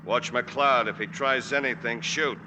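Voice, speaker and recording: A man's voice: fairly even intonation, a little slow, lightly acted. An actor playing a tough, watchful gangster henchman with a brusque manner, in 1940s radio drama style. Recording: noisy old radio, engine rumble.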